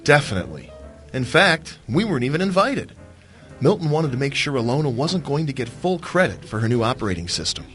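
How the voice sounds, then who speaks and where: A man's voice: mid-range voice, big pitch swings, a little fast, fairly bright tone, faint music behind. A man playing a flippant, teasing business partner in a radio drama, speaking in an arch, mocking, flowery manner. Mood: dismissive, wry. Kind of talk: theatrical radio drama acting.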